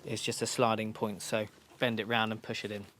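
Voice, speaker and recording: A man's voice: on the low side, flat delivery, a little fast, a bit bright, slight background noise. A man giving an informal, practical step-by-step demonstration in a reassuring, instructional way. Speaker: motorcycle shop staff giving a fitting tutorial.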